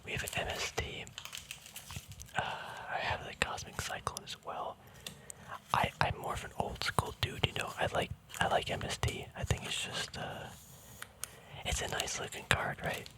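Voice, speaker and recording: A man whispers softly throughout, with no full voice, in an intimate ASMR style. His delivery is casual and rambling, like a laid-back hobbyist talking a viewer through his collection. He comes across as informal and gently self-deprecating. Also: normal speed, poor audio. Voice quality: soft breathy whisper. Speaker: ASMR card collector host.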